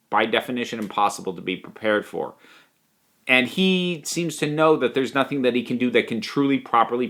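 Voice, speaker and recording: A man's voice: medium pitch, fairly bright tone. A man giving a conversational, opinionated review to viewers, leaning on key words for emphasis. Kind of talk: spoken audio drama review to viewers.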